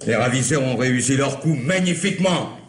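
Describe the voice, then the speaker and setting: A man's voice: medium pitch, lively intonation, brisk, close to an everyday voice. An actor in an old French radio mystery playing an irascible, sarcastic police commissioner who scolds his men. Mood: bitterly sarcastic. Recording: roomy old radio recording with hiss.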